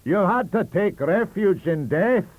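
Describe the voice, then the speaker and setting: Shouting, high-pitched indignant Scottish professor. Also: male voice, lively intonation, unhurried, dry recording, phone-quality sound. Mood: mocking, combative. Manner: bellowing, declamatory. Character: shouting, indignant Scottish male professors and librarian, combative, scornful.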